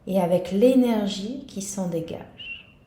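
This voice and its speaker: Lady doing guided meditation, gentle and soothing, takes it slow, bit of room echo. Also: medium pitch. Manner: calm, soothing, gentle delivery. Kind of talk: guided yoga nidra meditation.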